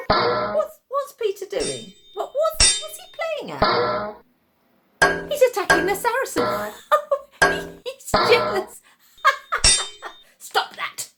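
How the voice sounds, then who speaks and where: A woman voicing an excited, laughing spectator at a puppet show in a storybook reading, with shrill, stammering exclamations. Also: very slow, gravelly, long pauses, faint music behind, full character voice. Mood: amused excitement.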